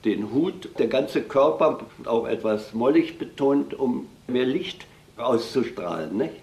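A man's voice: mid-range voice, lively intonation, slow, darkish tone. A man explaining a design in a precise, analytical way in an interview. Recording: roomy echo.

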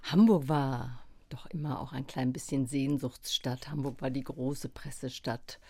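A woman journalist answers an interviewer's questions in a thoughtful, reflective way and restarts her sentences now and then. Her voice is very deep and gravelly, recorded dry and close to the mic. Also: fairly even intonation, a little slow, a little mellow, no room sound. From Germany. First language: German. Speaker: journalist guest. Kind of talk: radio interview.

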